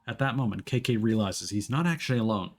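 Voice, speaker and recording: A man's voice: on the low side, expressive, fast talker. A man streaming a video game, moving between casual commentary and reading story narration aloud.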